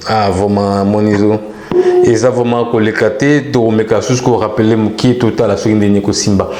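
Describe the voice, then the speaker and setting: Homme à la voix grave, salle résonnante. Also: male voice, on the low side, flat delivery, normal speed, reverberant, over music. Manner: repeats greetings into the line, mixes French and Lingala. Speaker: web TV host taking callers. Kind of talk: casual call-in show hosting.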